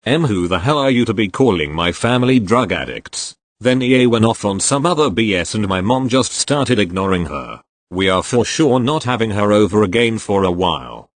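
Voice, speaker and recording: A man's voice: on the low side, lively intonation, moderate pace, hardly any pauses, dry recording. A man narrating posts read aloud from an online forum in a plain reading voice. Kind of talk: scripted narration.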